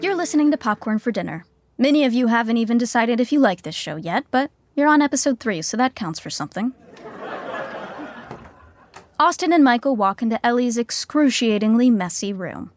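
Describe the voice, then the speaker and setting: A woman's voice: on the high side, expressive, a little fast, close to an everyday voice. A woman playing a wry, chatty narrator who teases along in a comic podcast sitcom. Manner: wry, conversational, teasing.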